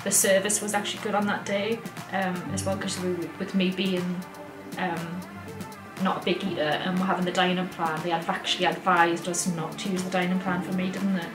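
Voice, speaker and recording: A woman's voice: lowish voice, level tone, brisk, distant mic. A woman, chatting casually as a vlog co-host. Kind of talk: casual chat, chipping in. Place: living room sofa, indoors. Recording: echoey, off-mic, music behind. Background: background music.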